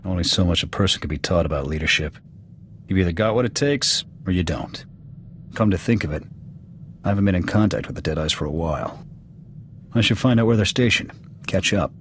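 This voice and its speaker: A man playing a gruff veteran male sniper officer speaking in clipped, matter-of-fact reports in a video game. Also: low voice, lively intonation, brisk, long pauses, a little hiss or hum. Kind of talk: video game cutscene acting.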